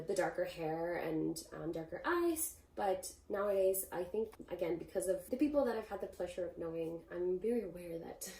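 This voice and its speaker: A woman's voice: on the low side, dark tone. Thoughtful Mexican-born woman, echoey room. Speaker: interviewee. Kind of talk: recorded video answer.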